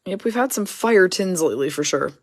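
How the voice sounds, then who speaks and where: A hoarse woman hosting a card break, casually rattling off player names and teams as she opens packs. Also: on the low side, lively intonation, a little fast, dry recording, studio quality. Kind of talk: casual card-opening commentary.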